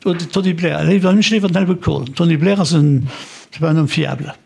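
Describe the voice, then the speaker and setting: A man's voice: on the high side, a little fast, creaky, a little mellow, husky. An older man with a Luxembourgish accent, chatting casually as an interview guest, rambling through memories and slipping French words into his talk. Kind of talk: casual reminiscing conversation.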